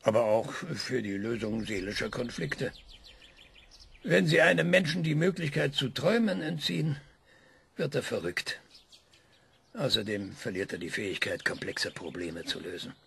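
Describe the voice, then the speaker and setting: Radio play: proud, gravelly scientist; lecturing, dramatic, drops to whispers. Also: male voice, mid-range voice, moderate pace, full character voice. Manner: lecturing, dramatic emphasis with sudden hushed whispers. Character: proud male scientist, sharp and scornful. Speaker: scientist being interviewed.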